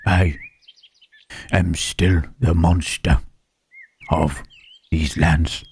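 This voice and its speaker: A man voicing a gentle, self-loathing Frankenstein's monster in an ASMR roleplay, speaking in halting, formal phrases with a husky, rough voice. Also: deep voice, fairly even intonation, exaggerated voice. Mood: disbelieving, resigned. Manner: halting, formal phrasing with searching tag questions. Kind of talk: slow ASMR roleplay monologue.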